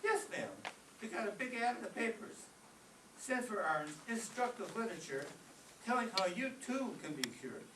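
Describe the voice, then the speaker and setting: Mischievous, eager high school boy played by an actor for radio comedy; animated swings, roomy far-off stage sound. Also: normal speed, natural voice. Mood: gleeful scheming. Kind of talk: old-time radio comedy acting. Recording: distant echoey stage with clear noise.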